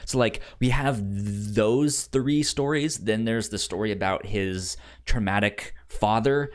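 A man co-hosting a casual film discussion podcast, halting and repeating words as he works out his opinions. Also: lowish voice. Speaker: podcast co-host reviewing a film.

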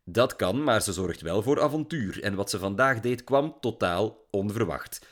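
A man voicing a calm, analytical middle-aged father in an audiobook, measured and soothing. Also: lowish voice. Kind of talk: audiobook character voice.

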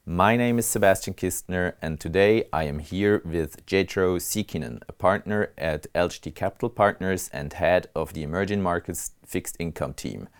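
A man with a deep, smooth voice hosts a podcast interview. He is friendly and teases his guest. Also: moderate pace, hardly any pauses. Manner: friendly, teasing. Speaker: podcast host.

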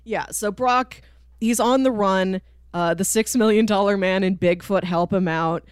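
A woman's voice: mid-range voice, a little slow, clean tone, dry recording, studio quality. A woman co-hosting a podcast, chatting casually and explaining things in detail with an enthusiastic, chuckling manner. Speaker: podcast co-host. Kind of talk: casual chat, explaining and recapping a TV cartoon.

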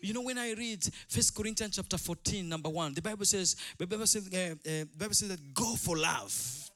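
Man, middle-aged, impassioned preacher, high-pitched, bright forceful voice, emphatic repetition. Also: brisk, faint music behind. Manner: emphatic, repetitive, swinging between quiet asides and loud declamation. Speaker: pastor, preacher. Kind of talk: impassioned sermon.

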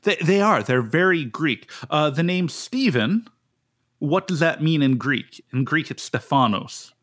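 A man, a pastor from the United States, hosting a casual Bible study podcast in an animated way, often restarting his sentences and leaping up in pitch to make a point. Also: unhurried. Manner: animated, jumps up in pitch for emphasis, frequent restarts.

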